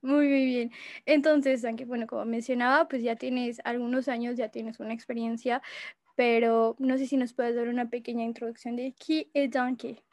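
A woman hosting a casual interview, friendly and quick to laugh, often repeating her words. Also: on the high side, level tone, no echo. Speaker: interviewer and host.

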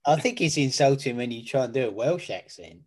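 A man of middle pitch talks loosely over a beer with a friend. His delivery is wry and unhurried, with casual swearing. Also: expressive, a little mellow. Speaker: beer podcast co-host. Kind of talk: casual chat reviewing beer.

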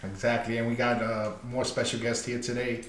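A man co-hosting a casual podcast in a low-key, profane way. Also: monotone, fairly quick, a little hiss or hum. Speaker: podcast co-host. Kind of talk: casual chat. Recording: echoey room, distant mic, crowd chatter.

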